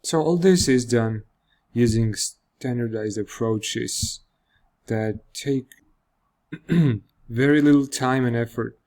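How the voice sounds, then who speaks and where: A man with a Georgian accent, a native Georgian speaker, walking viewers through a web app in a screen-recorded demo, explaining with pauses and fillers. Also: medium pitch, darkish tone. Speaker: software developer demoing a product.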